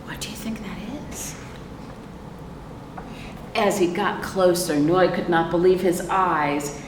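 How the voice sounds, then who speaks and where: An American woman leads a storytime for young children in a lowish voice that moves at a leisurely pace. She is expressive and puts questions to her listeners as she goes. The sound is distant and reverberant, with noise behind her. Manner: expressive, asks listeners questions and pauses for answers. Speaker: librarian hosting children's storytime. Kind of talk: picture book read-aloud for young children. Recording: roomy, echoing, noisy, far from microphone.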